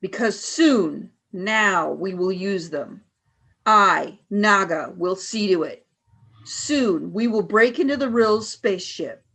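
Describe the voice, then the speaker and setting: Female alien military leader, played by an actress, medium pitch, clipped and icy, menacing authority, radio sci-fi drama. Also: animated, slow pace, gravelly, a bit bright, exaggerated voice. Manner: clipped, barked orders. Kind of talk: radio play acting.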